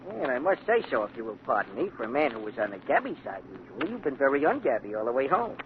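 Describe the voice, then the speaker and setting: An actor playing a chatty, eager and loyal male cab driver who talks quick and sing-song, heard on a tinny, hissy 1940 radio broadcast. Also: mid-range voice, very animated.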